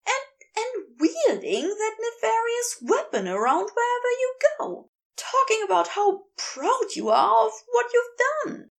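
Audio story role: prim, excitable man played by a woman, very high swooping voice, fussy and wordy. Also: measured pace, creaky, exaggerated voice. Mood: indignant, flustered. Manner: prim, wordy, fretful, exclaiming.

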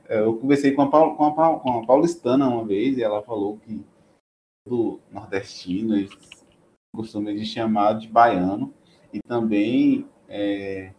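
A man's voice: medium pitch, darkish tone, slight background noise, rough recording. A man from Bahia with a Bahian accent, talking casually and restarting his sentences often. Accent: Bahian Portuguese. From Bahia, Brazil. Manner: hesitant, many restarts and fillers. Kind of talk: casual conversation.

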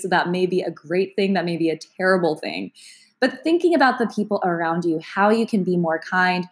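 A woman with a cold, sounding congested, giving a casual, chatty astrology forecast to her viewers and laughing now and then. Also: on the low side. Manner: chatty, gentle, laughs between sentences. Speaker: astrology vlogger. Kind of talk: casual talk to camera.

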